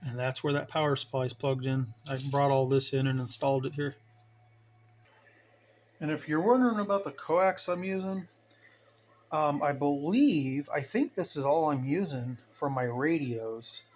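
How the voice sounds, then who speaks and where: A man's voice: fairly high pitch, normal speed, a little mellow. A man giving a casual, unscripted tour of his hobby gear, rambling and thinking aloud in a folksy way. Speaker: hobbyist radio operator giving a station tour. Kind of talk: casual unscripted equipment walkthrough. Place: indoors at home radio bench. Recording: noticeable background noise, home video.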